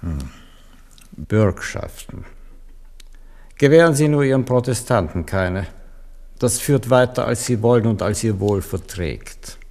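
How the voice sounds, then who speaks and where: An actor playing a shrewd, stern old papal legate in a radio play, speaking in a measured, solemn way. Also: male voice, deep voice, a little mellow. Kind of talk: 1970s radio play acting.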